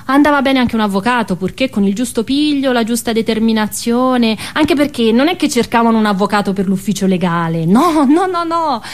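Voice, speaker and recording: A woman, an actress performing a narrative stage monologue in an expressive, colloquial storytelling manner with emphatic repetition. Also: on the high side, a little fast, hardly any pauses.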